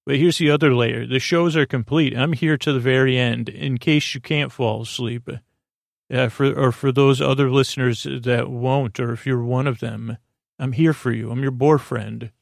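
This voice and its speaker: A man with a gravelly, fry-heavy voice hosts a bedtime podcast in a close, dry recording. He speaks in an improvised ramble at a middling pitch. His sentences halt, double back and wander off into tangents. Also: steady tone, no room sound. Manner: meandering, halting, self-interrupting, trails off into tangents. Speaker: podcast host.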